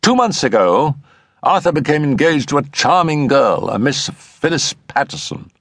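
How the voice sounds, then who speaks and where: A man's voice: a little high, very animated, moderate pace, a bit bright, no room sound, studio quality, pitched above the performer's own voice, heavily acted. A man voicing an anxious, frank and earnest male doctor in an audiobook story. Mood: troubled.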